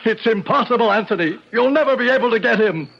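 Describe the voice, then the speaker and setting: Frantic high-pitched accomplice. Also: male voice, expressive, fast, vocal fry, exaggerated voice. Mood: frantic, despairing. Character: rattled male accomplice in an assassination attempt. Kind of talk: old-time radio drama acting. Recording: muffled, noisy old radio broadcast.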